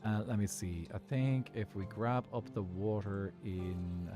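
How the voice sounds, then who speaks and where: A man's voice: on the low side, fairly even intonation, measured pace, music in the background. A man with a Dublin Irish accent, rambling casually as he chats while gaming. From Ireland. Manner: rambling, talks while playing. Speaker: streamer.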